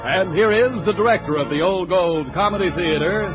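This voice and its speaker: A man's voice: high voice, normal speed. An American man announcing a 1940s radio program in a declamatory style. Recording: muffled 1940s broadcast under loud music.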